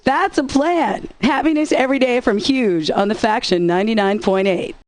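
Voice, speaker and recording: A woman's voice: on the high side, moderate pace, darkish tone. A woman radio DJ with sarcastic, wisecracking scripted patter, recorded close on a studio mic.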